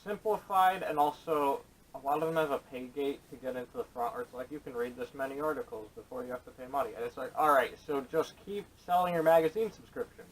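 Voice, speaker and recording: A man's voice: mid-range voice, quick pace. A man chatting casually on a podcast panel with blunt, contrarian opinions, recorded through a camera microphone. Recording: distant camera audio, noisy.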